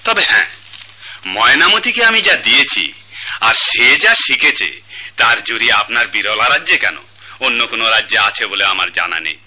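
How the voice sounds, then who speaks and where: A man's voice: mid-range voice, very animated, normal speed, sharp tone, exaggerated voice. A man with a Bangladeshi accent playing a proud, benevolent music master in a radio drama, grandiloquent and declamatory. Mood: solemn pride. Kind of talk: Bengali radio drama acting.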